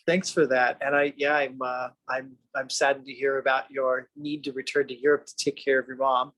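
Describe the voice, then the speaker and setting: A man's voice: medium pitch, level tone, normal speed, fairly bright tone, poor audio. A man hosting a podcast interview, talking eagerly in a casual way with frequent stammering restarts. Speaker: podcast host.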